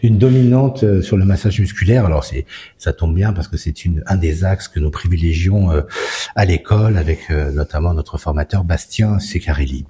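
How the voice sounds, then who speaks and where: A man hosts a casual podcast, putting long, carefully framed questions with plenty of fillers. His voice is very low, with a dark, warm tone. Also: normal speed. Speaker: podcast host for a spa school.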